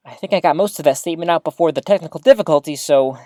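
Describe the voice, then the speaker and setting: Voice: mid-range voice, racing, dry recording, studio quality, natural voice. An actor playing a rambling, easily flustered small-town radio host giving a live broadcast in an audio drama, awkward and chatty. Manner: awkward, animated, throat-clearing, chatty.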